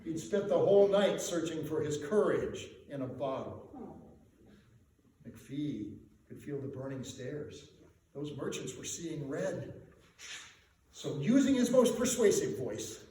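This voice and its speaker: A man's voice: a little high, very animated, slow pace, warm tone. A man reciting a rhyming comic holiday story in a theatrical, emphatic, sing-song way, as a live storyteller to an audience. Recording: distant mic in echoey room, faint noise.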